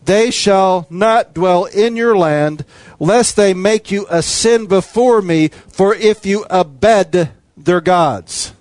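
A man preaching a sermon, his voice sitting a little higher than most men's. He hammers on repeated phrases with an emphatic cadence. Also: slow pace, few pauses, darkish tone, no room sound.

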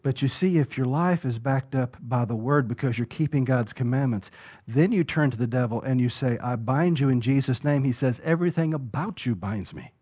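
A man preaching a sermon, building from steady talk to loud, emphatic peaks. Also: medium pitch, normal speed, breathy edge. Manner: rises to loud emphatic preaching peaks, acts out quoted voices. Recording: dull lo-fi sound.

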